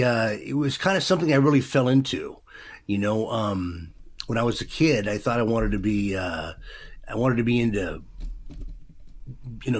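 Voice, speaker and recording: A man in his fifties, a former radio DJ, chatting in an interview in a rambling way with many fillers. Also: fairly low pitch. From Pacific Northwest, USA. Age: 50s. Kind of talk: casual interview chat.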